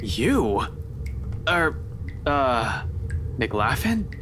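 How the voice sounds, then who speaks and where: An actor playing a wary, practical police detective in a visual novel, with a hesitant, questioning manner. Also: male voice, mid-range voice, big pitch swings, drawn-out words, background noise. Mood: startled.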